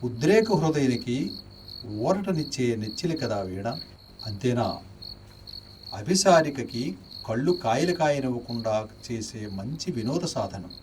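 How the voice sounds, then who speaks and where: A dreamy nobleman, acted by a man, speaks in a mid-pitched, very lively, lilting voice. His poetic radio-play delivery is slightly distant, with faint noise behind. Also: a little slow, pauses often, natural voice. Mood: rapturous. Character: refined, dreamy nobleman, rapturous lover of music. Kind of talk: poetic classical radio drama acting.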